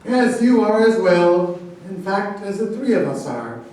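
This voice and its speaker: High-voiced, prickly military man played by an actor. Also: very animated, measured pace, a little mellow, far from the mic. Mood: exasperated. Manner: argumentative, grandiose. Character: prickly, self-important military officer and professor. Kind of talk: staged play reading. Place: echoey room at a staged reading. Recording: very echoey room recorded from afar.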